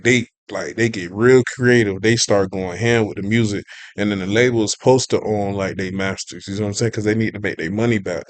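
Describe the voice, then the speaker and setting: Low-pitched man, casual reaction commentary. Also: dry recording. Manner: conversational, slangy, repeats "you see what I'm saying". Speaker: reaction video host.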